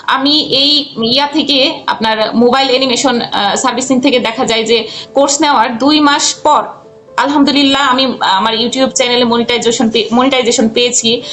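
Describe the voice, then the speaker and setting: A woman gives conversational interview answers. She speaks haltingly, often repeating or restarting a phrase. Light music plays under her voice. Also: high voice, a bit bright. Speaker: guest, freelance cartoon voice artist and animator.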